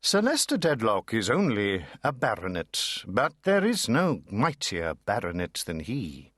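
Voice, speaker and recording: A man's voice: on the low side, huge pitch swings, unhurried, a bit bright, no room sound, studio quality. A man reading classic literature dramatically, theatrical and precise. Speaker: audiobook narrator. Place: dry studio.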